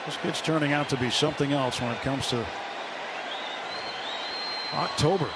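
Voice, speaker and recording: A man calling a baseball game on the radio, building to shouts on big plays. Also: fairly high pitch, normal speed. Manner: calls big plays with rising, shouted excitement. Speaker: play-by-play announcer. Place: broadcast booth at a ballpark. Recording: radio broadcast with loud crowd noise.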